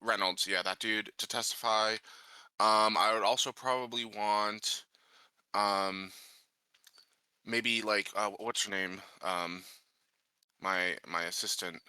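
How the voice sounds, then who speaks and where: A man playing a tabletop game, improvising casually and haltingly as he searches for words. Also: lowish voice, steady tone, bright, forceful tone, clear, resonant tone, no echo.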